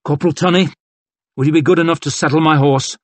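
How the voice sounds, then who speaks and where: A man's voice: a little high, steady tone, quick pace, dry recording, pitched above the performer's own voice, natural voice. A man doing an earnest young lieutenant. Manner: earnest, respectful. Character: earnest young male lieutenant, dutiful and worried.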